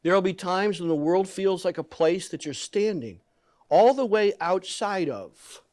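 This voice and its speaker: A man reading a children's picture book aloud in a gentle, deliberate storytelling manner, leaning on key words. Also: sits high, animated. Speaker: guest story reader.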